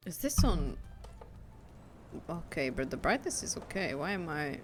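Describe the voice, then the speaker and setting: A woman's voice: sits low, very animated, measured pace. A woman doing casual live commentary as a game streamer, offhand, with muttered asides and teasing complaints. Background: game music.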